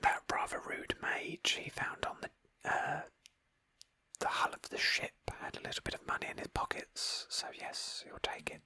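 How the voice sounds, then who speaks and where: A woman whispering gently as she narrates an ASMR roleplay of a video game in a storytelling manner. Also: moderate pace, dry recording. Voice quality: breathy whisper. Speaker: host of a roleplay game playthrough.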